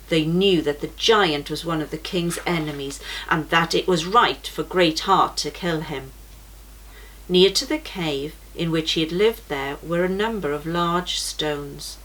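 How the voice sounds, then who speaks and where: A woman's voice: lowish voice, low-quality recording. A young woman reading a children's storybook aloud for a church story time, shifting her voice for the characters. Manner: gentle, shifts voice for characters in quotes.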